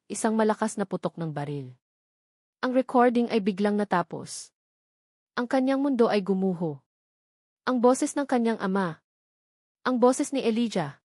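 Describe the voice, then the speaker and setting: A woman's voice: a little high, a little mellow, no room sound, clean studio sound. A woman narrating a scripted love drama in an emotive, melodramatic way. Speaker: story narrator.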